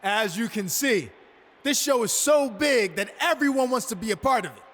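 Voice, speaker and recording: An actor playing an earnest, defiant young pro wrestler giving a promo speech in a video game cutscene. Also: male voice, extremely high pitch, expressive, moderate pace, fairly bright tone, heavily acted. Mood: proud, showy. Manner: addresses a crowd in announcement style.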